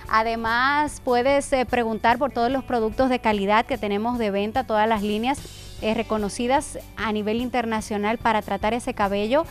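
A woman's voice: fairly high pitch, fairly even intonation, faint music behind. A woman hosting a local TV talk show, reading sponsor thanks and chatting with a guest in an upbeat, friendly way. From Dominican Republic. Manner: upbeat, friendly, with filler pauses. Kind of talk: chatty interview and live sponsor plugs.

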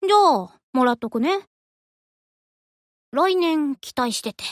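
A woman's voice: sits high, lively intonation, slow pace, no room sound, clean studio sound. A woman voicing an aloof, offhand teenage warship girl in an anime game. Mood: aloof, bashful. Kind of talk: Japanese anime game voice acting.

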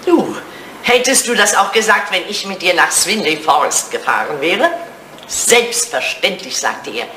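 A woman's voice: lowish voice, unhurried. An actress playing an elegant, teasing older English lady in a retro radio comedy, telling things frankly and theatrically. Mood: mischievous storytelling. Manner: animated, theatrical, teasing storytelling. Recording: roomy, noisy vintage radio play recording.

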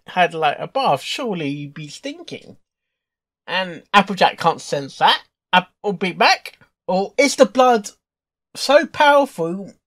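A man hosting a reaction video with casual, informal commentary, halting and rambling as he thinks aloud. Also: sits high. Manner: halting, repeats and rambles through thoughts. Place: indoors at a computer.

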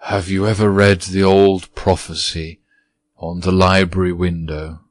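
A man reading aloud, voicing an old withered male ghost who speaks in a low, dreamy, mournful way. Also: little pitch movement, a little slow, no room sound, clean studio sound.

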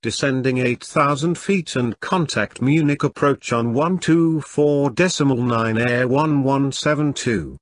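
A man reading scripted pilot radio calls in a measured, formulaic way for an English lesson. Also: medium pitch. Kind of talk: scripted radio phraseology readback.

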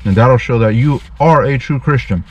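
A man's voice: mid-range voice, highs cut off. A man in his thirties, a street preacher, talking earnestly and a bit formally to a camera from his parked car. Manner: slow, earnest, pious, slightly formal. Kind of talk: casual vlog with preaching asides. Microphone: dash camera in front of him.

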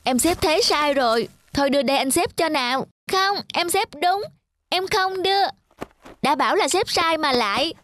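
A performer voicing a sweet, earnest, excitable preschool boy with childlike eagerness in an animated children's tale. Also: high-pitched, normal speed, no echo. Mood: squabbling.

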